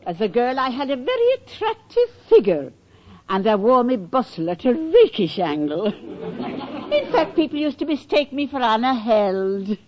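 Huffy old landlady, proud but kind, lively up n down voice, muffled old radio comedy. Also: a little high, measured pace, some chatter behind. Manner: indignant, fussy, theatrical. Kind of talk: 1940s radio comedy acting. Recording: dull vintage radio recording.

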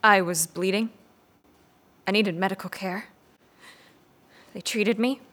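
A woman's voice: mid-range voice, steady tone, slow, fairly bright tone. An actress playing a guarded married mother in an audio drama, curt and defensive as she is questioned. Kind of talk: scripted audio drama dialogue.

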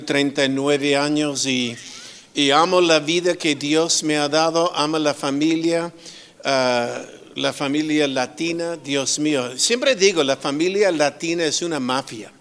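Slow, smooth-voiced male preacher. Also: fairly high pitch, fairly even intonation, fairly bright tone. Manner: slow, with many pauses and restarts; humorous asides. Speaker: guest preacher. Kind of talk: sermon.

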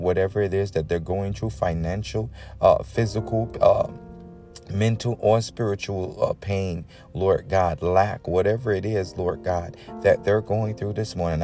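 A man with a deep, dark-toned voice leads a devotional prayer as a preacher. He is earnest and leans on repeated amens and invocations, with faint music playing behind him. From the Bahamas. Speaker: preacher leading a morning prayer. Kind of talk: devotional talk and extemporaneous prayer.

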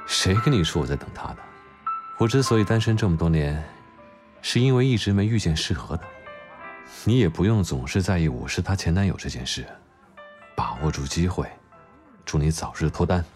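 A man's voice: sits low, lively intonation, normal speed, pauses often, a little mellow, faint music behind. An actor playing a cool, sardonic, protective man with a commanding manner in a Chinese audio drama. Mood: dry teasing.

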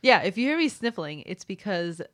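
A woman's voice: medium pitch, big pitch swings, moderate pace, a bit bright. A young adult woman co-hosting a podcast in a casual chat, with a stuffy nose, rambling and laughing at herself. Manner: rambling, self-mocking, laughs between lines. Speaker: podcast co-host.

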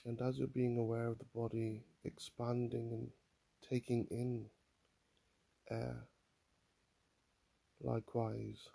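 A man guiding a mindfulness meditation in a calm, soothing manner, leaving long pauses between phrases. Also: medium pitch, creaky, husky. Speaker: mindfulness guide. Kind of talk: guided meditation, body scan.

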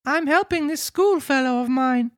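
A man's voice: extremely high pitch, normal speed, fairly bright tone, dry recording, studio quality, voice pushed up. A man voicing a frightened wooden puppet boy who pleads and stammers. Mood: pleading.

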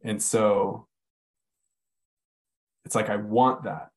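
A man's voice: on the low side, steady tone, drawn-out words. A man in a casual podcast chat, talking reflectively and searching for his words. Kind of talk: casual conversation.

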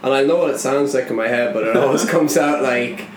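Young irish guy, accent kinda softened, easygoing storytelling, roomy echo. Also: medium pitch, brisk, a crowd in the background. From Ireland. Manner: relaxed storytelling, light laughs. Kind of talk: casual podcast chat. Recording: echoey room with faint noise.

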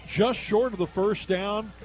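A man with an American accent and a noticeably high-pitched voice calls a football game for radio. He works as the play-by-play announcer, following the action live from the game. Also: unhurried, over music, low-quality recording.

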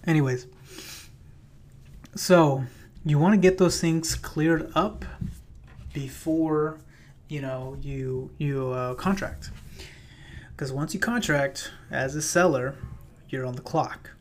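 A man hosting his own podcast in a loose, chatty way with many fillers, his voice a little raspy. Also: a little high, takes it slowly, long pauses, a little hiss or hum. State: slightly raspy voice. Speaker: podcast host and realtor. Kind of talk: casual solo podcast talk. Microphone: plugged-in microphone.

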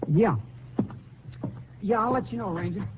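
A man's voice: high voice, unhurried, narrow, tinny audio. A man acting as a nervous, evasive young suspect who answers hesitantly and defensively in a 1950s radio crime drama. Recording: thin, noisy old radio broadcast recording.

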